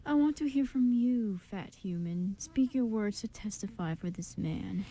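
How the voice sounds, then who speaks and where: A woman's voice: medium pitch, animated, a little slow, mellow, slight background noise. A woman voicing a menacing, haughty young council man who questions people with condescension in an amateur radio drama.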